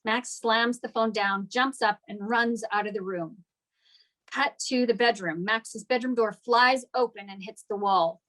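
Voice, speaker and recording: A woman's voice: fairly high pitch, a little slow, a bit bright, poor audio. A woman giving scripted narration, reading out stage directions at a table read.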